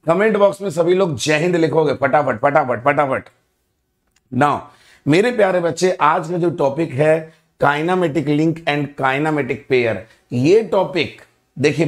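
A man in his thirties with an Indian accent, teaching a live online engineering class in a studio, energetic and repetitive, with a slightly sore throat. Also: a little high. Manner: energetic, repeats greetings, mixes Hindi and English. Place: indoor studio in front of a backdrop.

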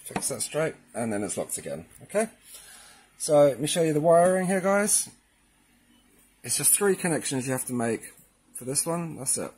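A man's voice: fairly high pitch, sing-song, normal speed, pauses often, a little mellow, slightly rough. Man giving a casual tutorial. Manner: casual, addresses viewers as guys. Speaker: presenter of installation tutorials. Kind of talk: informal instructional demonstration.

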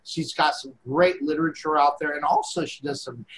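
A man's voice: on the high side, sing-song, fairly quick, a bit bright, slight background noise, low-quality recording. A man co-hosting a casual chat show over a video call, cracking jokes and laughing at his own puns. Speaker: co-host.

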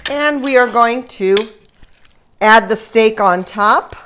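A woman's voice: fairly high pitch, takes it slowly, a bit bright. A woman hosting an informal cooking demonstration, giving step-by-step instructions with chatty little asides. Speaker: home cooking show host. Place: home kitchen, indoors. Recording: kitchen noise, faint sizzling and clatter.

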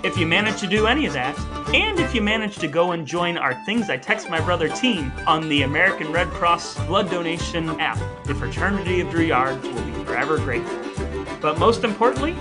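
A man's voice: on the high side, big pitch swings, brisk, over loud music. A man co-hosting a podcast, addressing listeners in a casual, conversational presenting style. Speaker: podcast co-host.

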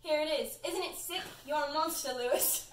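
A woman's voice: sits high, moderate pace, natural voice. A woman voicing a mocking, giggly police officer in an amateur fan fiction reading. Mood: accusing. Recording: roomy, distant mic, faint noise.

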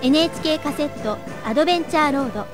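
A woman announcer reading scripted credits in a formal, measured way. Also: high-pitched, music in the background.

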